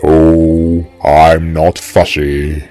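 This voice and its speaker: A man voicing a calm little boy who talks in a flat, unhurried way. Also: a bass voice, faint music behind, full character voice. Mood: placid. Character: very deep, slow-talking little boy.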